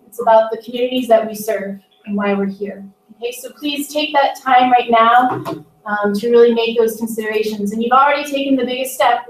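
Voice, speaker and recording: Echoey, distant, higher-voiced woman giving a briefing. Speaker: Peace Corps program staff leader briefing incoming volunteers. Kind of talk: informational briefing on a conference call. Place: indoor room on a conference call. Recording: echoey room, distant microphone.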